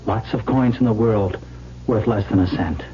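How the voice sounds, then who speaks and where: An actor playing a devoted, determined man in a radio drama, speaking with earnest, gently coaxing reassurance. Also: on the low side, normal speed, lightly acted. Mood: reassuring, urgent. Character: devoted coin-collector lover, tender, reassuring, determined. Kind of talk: 1970s radio drama acting. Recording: muffled old radio recording, faint noise.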